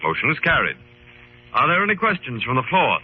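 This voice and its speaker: A calm, authoritative hero leading a mystery club. His delivery is formal and measured but very expressive, in old radio drama style. The broadcast is muffled with a light hiss. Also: medium pitch. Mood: authoritative, explaining. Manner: formal, measured, clearly enunciated. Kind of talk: 1940s-50s radio drama acting and narration.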